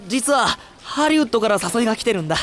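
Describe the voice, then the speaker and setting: Quick, high-voiced young director. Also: male voice, big pitch swings, a bit bright, faint music behind, exaggerated voice. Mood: confident. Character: earnest young amateur film director.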